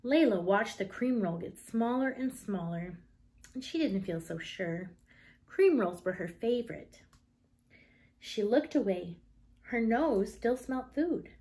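Woman, thirties, higher-pitched, lively intonation, children's read-aloud, deliberate delivery. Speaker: teacher reading a picture book aloud.

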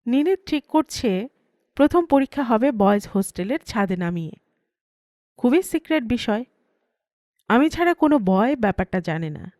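A woman's voice: on the high side, very animated, moderate pace, long pauses, dark tone, dry recording, studio quality. A woman reading a first-person story aloud as an audiobook narrator.

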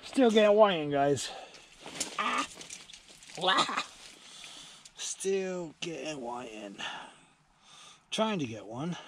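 A man in his forties giving casual, enthusiastic running commentary to camera outdoors as he fishes, in an informal, matey way of talking. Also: on the high side. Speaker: angling vlogger. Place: outdoors at night on a beach by a small shelter. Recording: clearly noisy outdoor location sound.

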